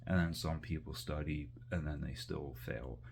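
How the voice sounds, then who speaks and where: A man's voice: extremely low pitch, fairly even intonation, moderate pace, creaky, darkish tone, a little hiss or hum. A man talking casually to the camera in a meandering way, full of fillers and restarts, while cooking in his kitchen. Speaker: vlogger. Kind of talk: rambling casual monologue.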